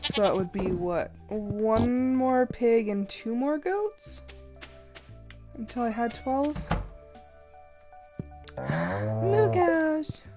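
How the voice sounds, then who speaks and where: A woman's voice: fairly high pitch, wildly swooping pitch, very slow, pauses often. A young adult woman streaming a game while congested and a little sick, chatting casually and apologizing for her voice. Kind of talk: casual gameplay commentary. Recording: game music behind voice.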